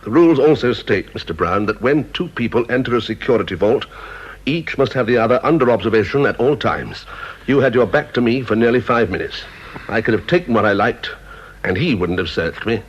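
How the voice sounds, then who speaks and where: An actor playing a stiff, strict British security supervisor in a radio drama, formal, clipped and curt. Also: male voice, mid-range voice, expressive, brisk, breathy edge. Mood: stern, impatient. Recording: dull old radio recording.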